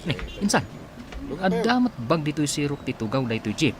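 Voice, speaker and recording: A man's voice: a little high, big pitch swings, a little fast, background noise. A man playing a loyal, teasing young cousin and confidant in a radio drama, with a Filipino accent.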